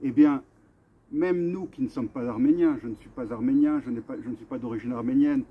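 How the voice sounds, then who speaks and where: A man hosting an earnest commemorative address to camera, speaking deliberately with halting pauses and repetitions. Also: medium pitch, husky. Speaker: video host and association vice-president. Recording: dull, muffled lo-fi sound.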